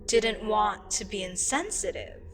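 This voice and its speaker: A woman playing a frustrated, condescending, wryly amused eldritch deity in an ASMR audio roleplay, with a dryly sarcastic, unhurried manner. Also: mid-range voice, lively intonation, slight background noise, close to an everyday voice. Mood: curious, bemused.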